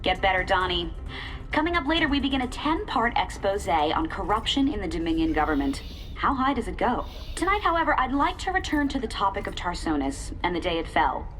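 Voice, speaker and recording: News anchor, crisp, lively pitch, soft music behind. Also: female voice, a little fast. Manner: crisp newscaster delivery. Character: polished female TV news anchor.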